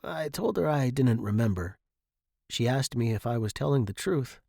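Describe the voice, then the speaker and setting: A man narrates a short story in the first person, as in an audiobook. His pitch is average for a man. The recording is close and dry, with little room sound. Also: animated, moderate pace, gravelly, darkish tone, slightly rough, no echo.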